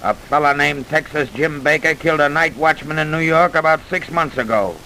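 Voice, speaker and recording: Tough cop, blunt, forceful bright voice, hissy old radio crime show. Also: male voice, on the high side, a little fast, poor audio. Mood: intent. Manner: blunt, businesslike. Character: tough, stern New York police detective. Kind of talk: old-time radio crime drama acting. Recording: hissy vintage radio recording.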